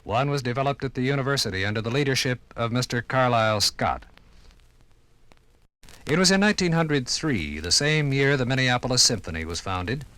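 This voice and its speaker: A man narrating and explaining as a guide in a scripted 1950s radio program, with deliberate phrasing. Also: medium pitch, expressive, sharp tone, no room sound, rough recording.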